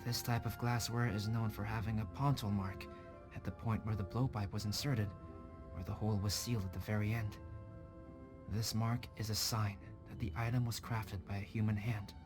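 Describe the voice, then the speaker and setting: A man voicing a calm, scholarly young alchemist in a video game, speaking in a measured, articulate, contemplative way. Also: fairly low pitch, natural voice. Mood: calm, explanatory. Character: calm, scholarly young male alchemist, artificially created human. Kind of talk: scripted English video game voice acting. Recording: prominent game music behind voice.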